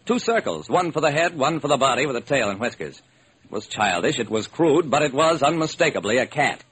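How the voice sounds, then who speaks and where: An actor playing a brisk, determined hard-boiled male private detective, narrating and acting in a classic radio crime drama. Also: mid-range voice, big pitch swings, a bit bright, poor audio. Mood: wry, brisk. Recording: old, slightly rough radio recording.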